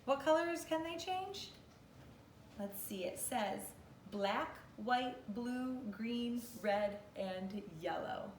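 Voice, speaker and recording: A woman's voice: a little high, expressive, takes it slowly. A young woman teacher giving an instructive read-aloud lesson for young children, explaining step by step in a living room. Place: small living room corner indoors. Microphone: camera a few metres away. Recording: echoey room with clear background noise.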